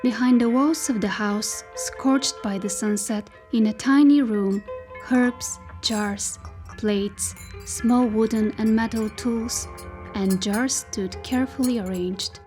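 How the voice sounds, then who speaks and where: A woman performing as the storyteller of a dystopian folk tale, reading scripted literary narration in a measured, descriptive way. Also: a little high, darkish tone, faint music behind.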